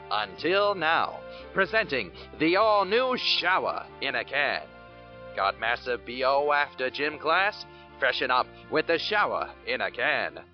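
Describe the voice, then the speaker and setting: A hammy, salesy commercial announcer, played by a man, pitches a product in a mock radio ad. His voice swings widely up and down over faint guitar. The whole thing sounds muffled and lo-fi. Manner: hammy, salesy, showy rises. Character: overblown male commercial announcer. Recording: lo-fi recording with guitar music.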